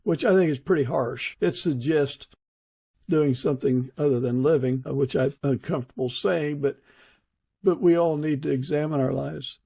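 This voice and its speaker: A man hosting a podcast, interviewing casually in an unhurried, halting way. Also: a little high, gravelly, dark tone, slightly rough, no room sound. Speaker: podcast host.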